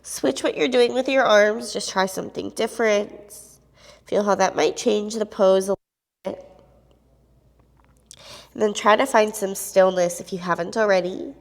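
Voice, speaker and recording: Sniffly woman calmly cueing a yoga class. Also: medium pitch, moderate pace, pauses often, in a large hall. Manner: calm, steady cueing with soft fillers. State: a bit sniffly from a cold. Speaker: yoga instructor. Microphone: clip-on mic.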